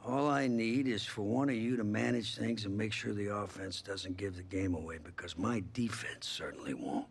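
Stern, gravelly football coach. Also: male voice, lowish voice, normal speed, slight background noise. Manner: blunt, authoritative. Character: stern, no-nonsense male college football head coach. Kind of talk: video game cutscene acting.

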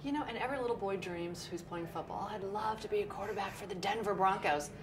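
A woman's voice: medium pitch, fast, a little hiss or hum. A woman hosting a TV interview, asking upbeat questions. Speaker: TV interview host.

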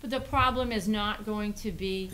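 A woman's voice: medium pitch, moderate pace, a bit bright. A middle-aged woman explaining things as a city official in a meeting room, insistently posing questions and answering them. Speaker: city official presenting to a planning board. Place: indoor municipal meeting room. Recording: faint noise, meeting room recording.

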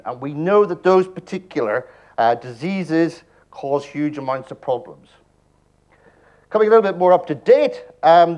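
A middle-aged man with an Irish accent gives a conference talk with slides, dropping wry jokes as he goes. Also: a little high. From Ireland. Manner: joking, wry asides. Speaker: conference speaker. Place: large presentation hall.

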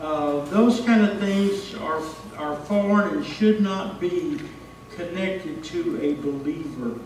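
A man's voice: fairly high pitch, takes it slowly, darkish tone. An older American man preaching a sermon in a folksy, storytelling manner. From Texas, United States. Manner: folksy, illustrating with homely stories. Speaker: pastor. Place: church stage, indoors. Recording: echoey hall, distant mic, faint noise.

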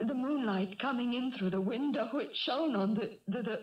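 Genteel, hesitant rich woman played by an actress, high voice, shaken; 1970s radio drama, muffled sound. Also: a little hiss or hum, close to an everyday voice. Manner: hesitant, halting. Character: shaken, genteel wealthy woman, burglary victim. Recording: dull 1970s radio recording.

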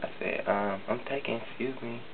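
A man's voice: mid-range voice, normal speed, vocal fry, close to an everyday voice. A man voicing a sassy, flamboyant young male cousin who tells a boastful, tough story in a comedy skit, recorded in a basement. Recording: tinny lo-fi audio with hiss.

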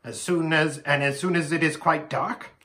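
A man voicing an anxious, eager male faun, courteous and breathlessly excitable, in a storybook reading. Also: a little high, normal speed, pitched above the performer's own voice. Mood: excited.

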